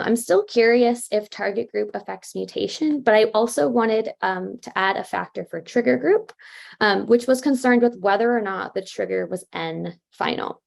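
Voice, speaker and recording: This is a woman presenting her research at an academic conference. Her pitch sits in the middle, and a creaky, gravelly edge runs through her voice. She keeps it conversational, with many fillers and quick self-corrections as she points out the results.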